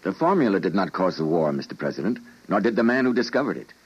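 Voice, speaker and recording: An actor playing an earnest, principled doctor with a dramatic delivery in an old-time radio drama. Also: male voice, low-pitched, sing-song, fast talker, breathy edge, full character voice. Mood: shocked, indignant. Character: earnest, principled male doctor, troubled and indignant. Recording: muffled vintage radio drama recording.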